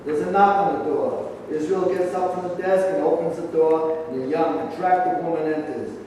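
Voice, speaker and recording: A man's voice: a little high, fairly even intonation, moderate pace, darkish tone. A man reading scripted stage directions as narrator of a staged play reading. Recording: echoing hall, distant mic, faint noise.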